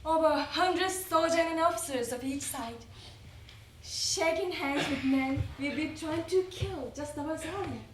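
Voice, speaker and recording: A woman recites a letter as a readers theater performer, in an earnest, careful way. Her voice is high and her delivery slow. The room echoes around her, with faint noise behind. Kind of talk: dramatic group recitation of a letter. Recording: roomy echo, faint background noise.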